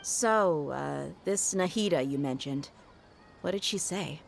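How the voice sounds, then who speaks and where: Game warrior woman: guarded, blunt, measured, lower register. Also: animated, creaky, a little hiss or hum, heavily acted. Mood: questioning. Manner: blunt, measured, halting when unsure. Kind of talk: video game voice acting.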